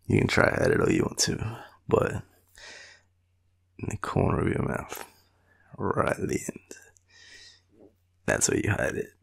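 A tired young Australian man murmuring an affectionate, rambling boyfriend roleplay in a deep, gravelly, breathy voice. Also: wildly swooping pitch, takes it slowly, pauses often, in a whisper. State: tired, sleepy. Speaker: boyfriend roleplay audio performer. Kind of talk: intimate sleepy roleplay, ASMR-like rambling.

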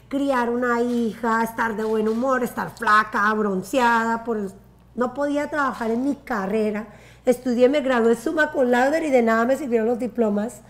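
A Colombian woman in her forties tells her life story on a sofa, her voice sitting a little high. She talks in an animated way and gestures with her hands. She is on a lapel mic in a bright living room. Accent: Colombian Spanish. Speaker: guest. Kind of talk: casual interview storytelling.

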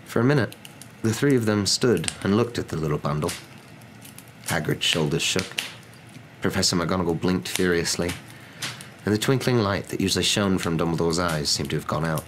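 Young male storybook narrator, ASMR style, very low register, faint noise behind. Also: normal speed, a bit bright, poor audio. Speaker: narrator reading a novel aloud. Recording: noticeable background noise.

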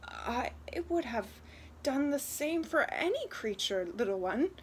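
A woman's voice: fairly high pitch, sing-song, takes it slowly, slight background noise. A woman voicing a kind young peasant girl in a storybook, shy, modest and earnest. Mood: modest, content.

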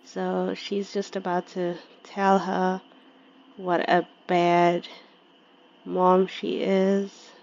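Woman chatting through her life-sim game, slow and kinda gravelly, dry dull lo-fi audio. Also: lowish voice, monotone, a little mellow. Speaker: gaming video creator. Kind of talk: casual gameplay commentary. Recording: slightly dull lo-fi recording.

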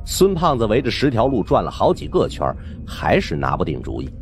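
Very animated, low-voiced male storyteller. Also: fairly quick, faint music behind. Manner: colloquial, theatrical storytelling. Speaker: audiobook narrator. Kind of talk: dramatic scripted narration of a supernatural suspense novel.

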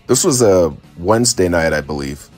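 A man's voice: low-pitched, animated, moderate pace, a little mellow, faint music behind. A man around his forties talking casually and informally as a laid-back travel vlogger. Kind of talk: casual travel vlog narration. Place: outdoors on town streets and beach. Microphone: phone at arm's length.

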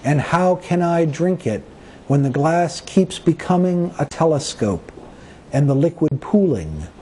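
A man reading his own poems as a poet, with measured, deliberate phrasing and pauses between short phrases. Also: fairly high pitch, expressive, mellow, a little hiss or hum. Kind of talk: poetry reading of own work.

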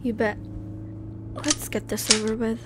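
Young female agent played by a woman, defiant, resolute, unhurried, lightly high voice, faint noise behind, audio drama. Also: level tone, lightly acted. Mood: determined. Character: defiant, short-tempered young female armed agent seeking justice.

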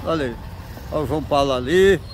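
A man's voice: a little high, fast talker. A man with a Northeastern Brazilian accent chatting casually and teasingly as he films outdoors, full of slangy exclamations. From Brazil, interior of Pernambuco. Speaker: amateur vlogger filming a street market. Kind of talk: casual chat while filming. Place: outdoor street market and town streets. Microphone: handheld phone, speaker behind camera. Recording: noisy outdoor street, crowd and vehicles. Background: market crowd, street noise, vehicles.

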